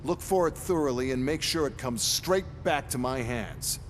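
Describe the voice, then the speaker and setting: An actor playing a curt, menacing superior who gives brusque, commanding orders in a video game. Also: male voice, normal speed, close to an everyday voice. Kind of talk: video game cutscene acting. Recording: long reverb, faint rumbling noise.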